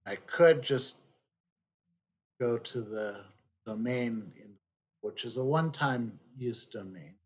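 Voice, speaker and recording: A man's voice: mid-range voice, slow, long pauses, slightly rough. A man giving casual video game commentary as a streamer, musing aloud as he plays.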